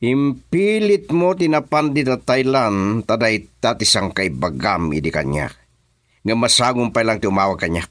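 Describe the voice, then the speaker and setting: Shady businessman boss, radio serial. Very deep, dry close sound, gruff and guarded. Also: animated, moderate pace, fairly bright tone, no room sound. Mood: defensive. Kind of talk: Ilocano radio drama acting.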